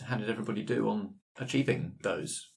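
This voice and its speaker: A man's voice: lowish voice, fairly quick, creaky. A man in a casual post-game discussion, putting loosely worded questions and remarks to the group. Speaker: discussion participant asking questions.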